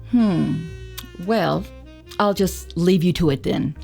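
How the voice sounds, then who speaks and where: A woman's voice: fairly low pitch, slow pace, vocal fry, darkish tone, soft background music. An actress playing a detective's playful, confident female friend in a radio drama, with a teasing manner.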